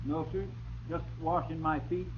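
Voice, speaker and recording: An actor playing an eager, simple, respectful marshal's helper with a hesitant, folksy in a radio western. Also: male voice, fairly high pitch, flat delivery, moderate pace, close to an everyday voice. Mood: sheepish. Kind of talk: 1950s radio western acting. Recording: tinny, hissy old radio recording.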